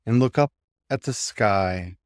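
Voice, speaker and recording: A man with a lowish voice leads a guided meditation very slowly. He gives gentle instructions in short phrases, leaving room between them. The sound is dry and close, with little room echo. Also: clean tone.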